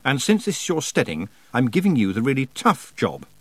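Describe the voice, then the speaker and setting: A man voicing a sensible, sharp-tongued young witch girl in a storybook, plain-spoken and firm. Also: mid-range voice, wildly swooping pitch, a little fast, no room sound, pitched above the performer's own voice. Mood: brisk, practical.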